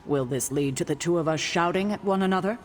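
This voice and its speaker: An actress playing a stern, composed sorceress with dry, pointed wit in a video game. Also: female voice, lowish voice, fast, in a large hall, faint music behind, natural voice. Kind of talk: video game cutscene acting.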